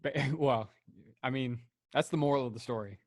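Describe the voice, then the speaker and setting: A man's voice: medium pitch, normal speed, no echo, studio quality. A young man co-hosting a podcast, chatting casually and joking. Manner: laid-back, jokey. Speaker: podcast co-host. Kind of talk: casual chat.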